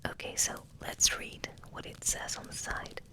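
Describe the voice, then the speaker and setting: A woman giving a soft whispered ASMR ramble in an unhurried, halting way, gently repeating words, close to the microphone. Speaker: host of an ASMR channel. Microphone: close microphone resting on the table.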